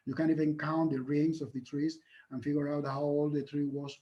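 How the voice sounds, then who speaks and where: Halting, earnest male lecturer, muffled. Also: fairly high pitch, little pitch movement, dark tone. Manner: earnest, halting with frequent fillers and restarts. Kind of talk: academic conference talk and Q&A.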